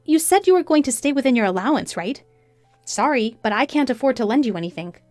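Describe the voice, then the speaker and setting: A woman voicing an indignant, scornful betrayed wife in a narrated story, sarcastic and forceful. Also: high-pitched, lively intonation, quick pace, no room sound, soft background music, voice pushed up. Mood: cold.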